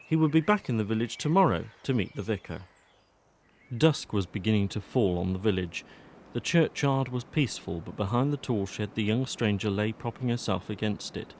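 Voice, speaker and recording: A man's voice: fairly low pitch, lively intonation, moderate pace, a little mellow, a little hiss or hum. A man reading scripted narration for an audiobook drama as its storyteller.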